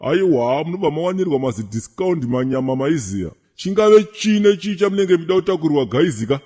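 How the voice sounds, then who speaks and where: A man with a Zimbabwean accent voicing a boastful, swaggering cartoon millionaire pitching in slangy sales patter. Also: sing-song, a little fast, dark tone. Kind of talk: comic cartoon advertisement.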